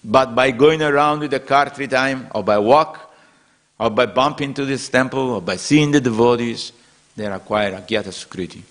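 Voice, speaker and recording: A man preaching in a temple hall at a middling pitch. His delivery is earnest and steady, built from short repeated phrases in slightly non-native English. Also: moderate pace. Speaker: preacher giving a scripture class. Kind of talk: devotional sermon.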